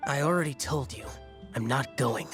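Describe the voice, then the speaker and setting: Guy doing brooding aloof young immortal warrior from a game, stern and formal, mid-pitched, faint music behind. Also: moderate pace, a bit bright, natural voice. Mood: aloof, refusing. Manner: terse, solemn, formal. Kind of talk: restrained game dialogue acting.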